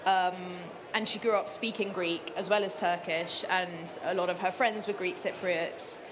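A woman's voice: on the low side, fairly even intonation, unhurried, noisy background. A woman author answering interview questions thoughtfully, with many fillers and restarts. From Cyprus. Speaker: author guest.

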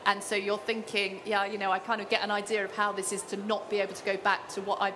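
Softly probing woman interviewer, noisy room. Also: medium pitch, completely flat delivery, quick pace. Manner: gentle, searching questions with fillers. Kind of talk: interview questions.

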